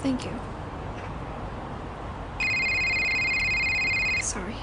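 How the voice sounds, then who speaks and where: A woman's voice: background noise, natural voice. A woman voicing a flirtatious, doting mafia boss in an intimate roleplay audio drama, teasing and considerate as she talks to her lover. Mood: gentle, apologetic. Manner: soft-spoken flirting, teasing, pauses as if hearing replies.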